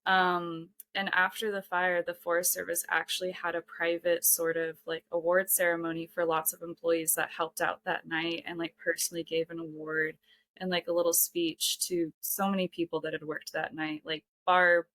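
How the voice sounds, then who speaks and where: A woman's voice: fairly low pitch, little pitch movement, normal speed, fairly bright tone. A young woman, a podcast guest, talking earnestly in a casual chat, filling her speech with "like" and "um". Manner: earnest, heavy use of "like" and "um". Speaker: podcast guest, ski lodge worker.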